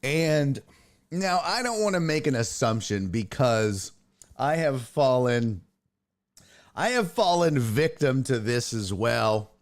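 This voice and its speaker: A man's voice: mid-range voice, expressive, takes it slowly. A man hosting a casual livestream chat, telling a joking story and reading out messages from chat. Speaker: streamer and sports talk host.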